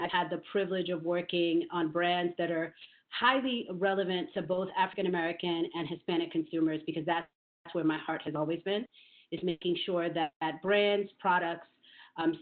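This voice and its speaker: A middle-aged woman from New York City, a marketing executive speaking in a virtual interview, measured and thoughtful, with frequent ums. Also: on the low side. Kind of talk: virtual interview, career talk. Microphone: video call. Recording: phone-like call audio.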